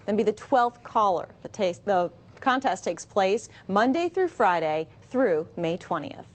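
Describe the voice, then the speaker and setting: A woman anchoring local TV news, reading stories in a crisp, even newsreader delivery from the studio. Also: mid-range voice, unhurried, slight background noise. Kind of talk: read local TV news copy.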